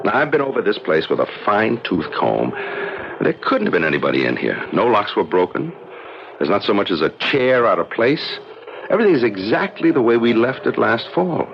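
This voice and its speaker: Lively, practical radio-drama husband. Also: male voice, lowish voice, brisk, creaky. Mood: settled, assured. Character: practical, skeptical, somewhat impatient husband. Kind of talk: 1970s radio drama acting. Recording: noisy, muffled old radio broadcast recording.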